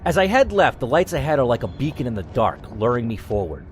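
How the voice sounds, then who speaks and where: A man's voice: medium pitch, very animated, fast talker, slight background noise. A young man hosting a gaming channel, reading an interactive story game aloud and breaking off into casual, swearing asides.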